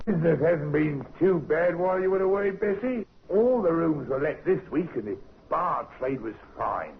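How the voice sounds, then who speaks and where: Gruff pub landlord, gravelly and bossy, jumpy higher voice, muffled old radio. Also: male voice, lively intonation, moderate pace, a little hiss or hum. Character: gruff, blunt English innkeeper husband, middle-aged. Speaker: radio drama actor. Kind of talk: 1950s radio crime drama acting. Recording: muffled vintage radio recording.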